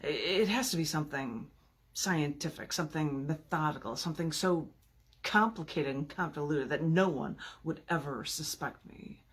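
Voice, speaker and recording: A woman's voice: low-pitched, normal speed, husky. A woman reading her own poetry aloud in an informal, theatrical and emphatic way, with playful, chatty asides.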